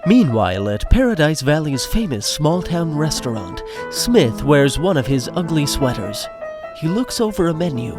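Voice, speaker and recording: A man's voice: a little high, very animated, normal speed. A man narrating a scripted comic audio drama in a wry storytelling manner. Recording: music behind the voice.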